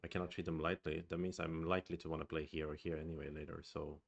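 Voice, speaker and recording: Gravelly low Swedish man musing. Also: monotone, quick pace. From Sweden. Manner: thoughtful, hesitant musing aloud. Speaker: go streamer. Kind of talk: live-streamed game commentary.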